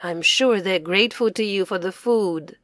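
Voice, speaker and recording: A woman's voice: mid-range voice, moderate pace, fairly bright tone, no room sound, studio quality, lightly acted. A woman voicing a kind, reassuring mother in a children's storybook, patiently explaining. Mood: comforting. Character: kind, comforting mother of a young boy.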